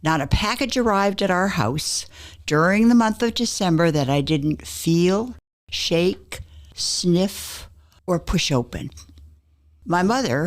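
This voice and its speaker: An older woman reading her own personal essay aloud as a scripted story. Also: lowish voice.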